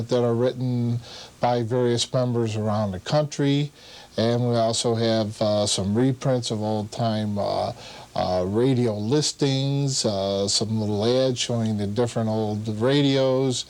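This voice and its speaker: Mid-pitched man explaining haltingly. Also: darkish tone. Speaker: old-time radio club member interviewed. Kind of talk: informal explanatory interview talk.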